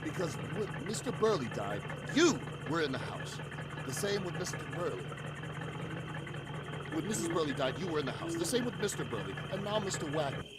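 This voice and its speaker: Loud noise surrounds an actor playing a suspicious, accusing man in a 1940s radio thriller. His pitch swings widely as he presses pointed, skeptical questions. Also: very animated, fairly quick. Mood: wary, stern. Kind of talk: 1940s radio horror acting. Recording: loud background noise, insect-like ambience.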